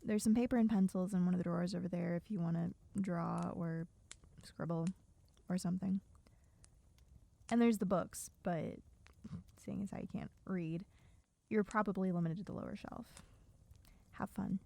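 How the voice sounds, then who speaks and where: A woman's voice: on the low side, fairly even intonation, moderate pace, creaky, long pauses, warm tone. A woman playing a wry, bossy, guarded survivor in a dystopian audio roleplay, speaking to a silent listener in a dry, chiding, sardonic way. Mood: dry, resigned.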